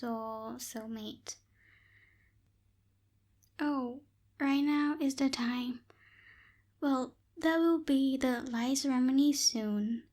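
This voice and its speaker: Woman playing a slow, soft-spoken aloof witch. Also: high-pitched. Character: aloof tsundere night witch, lazy, businesslike young woman. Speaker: voice actor in audio roleplay. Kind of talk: ASMR roleplay, soft spoken.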